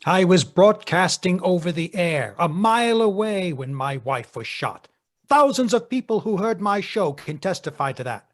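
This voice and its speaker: A man's voice: high voice, expressive, moderate pace. A man playing a smug radio broadcaster under suspicion in an old-time mystery play. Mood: indignant. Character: smug male radio broadcaster suspected of murder. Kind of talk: golden-age radio mystery acting.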